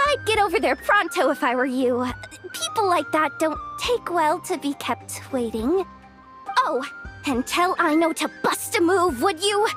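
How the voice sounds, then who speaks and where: An actress playing a frazzled, overwhelmed young event coordinator in an anime-style video game, flustered and chatty. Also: female voice, very high-pitched. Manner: flustered, chatty, self-doubting. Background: background music.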